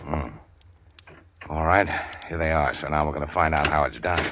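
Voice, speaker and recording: A man's voice: extremely low pitch, moderate pace, background noise. A man playing a cool-headed gangster go-between, dry and wisecracking, in 1940s radio drama style.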